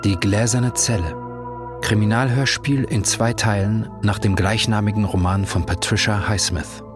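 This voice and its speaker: A man's voice: fairly low pitch, steady tone, moderate pace. A man reading a scripted title announcement for a radio crime drama. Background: ambient music.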